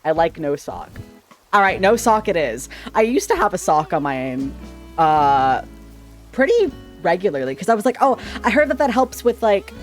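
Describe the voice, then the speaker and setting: A man chatting casually on a livestream with a slightly shrill, sharp-sounding voice, rambling playfully and tripping over his words. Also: sing-song, normal speed, soft background music. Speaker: streamer. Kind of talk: casual livestream chat with viewers. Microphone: desk microphone.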